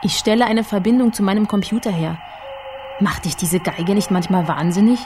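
A shrewd woman researcher, played by an actress in a radio crime drama, with a medium-pitched voice. She explains things coolly and confidently. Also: normal speed, over music, lightly acted. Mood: cool, explanatory. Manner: matter-of-fact, confident.

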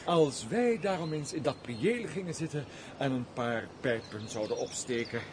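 A man's voice: medium pitch, animated, moderate pace, lightly acted. A man acting a jovial, grumbling aristocratic husband in a theatrical Dutch radio play, chuckling and good-humoured. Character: jovial, complaining, comfort-loving aristocratic husband. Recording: noisy old radio play recording.

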